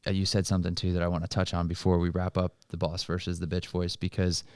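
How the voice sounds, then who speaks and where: A man's voice: low voice, completely flat delivery, quick pace, smooth, no echo, studio quality. A man hosting a casual motivational conversation, explaining things in an encouraging, coaching way. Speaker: podcast host.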